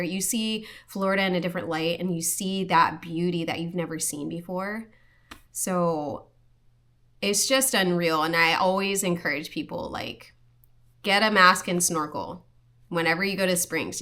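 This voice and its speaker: Young woman from Hawaii, earnest casual vlogger, bright forceful tone, slightly low. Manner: earnest, lots of "like" and restarts. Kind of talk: casual personal talk to camera.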